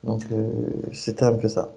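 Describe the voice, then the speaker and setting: A man's voice: fairly low pitch, completely flat delivery, moderate pace, warm tone, a little hiss or hum, rough recording. A man in his thirties from Cameroon, answering interview questions about his career, hesitant, with many fillers and restarts. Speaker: SAP consultant guest.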